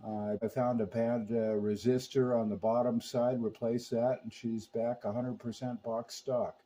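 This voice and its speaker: A man's voice: mid-range voice, level tone, unhurried, dark tone, a little hiss or hum. A man talking casually about technical repair work as a hands-on host, in a folksy, chatty manner. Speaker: radio repairer and video host. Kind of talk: casual technical talk and product commentary.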